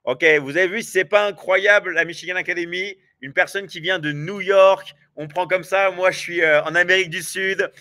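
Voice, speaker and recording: A man hosting a live online stream talks eagerly, looping through fillers and repeats. His voice sits a little high and bright, with a forceful edge. The sound is dry and close. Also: steady tone, normal speed, hardly any pauses, no echo. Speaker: live show host. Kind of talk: live stream sales chat. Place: at home in South America.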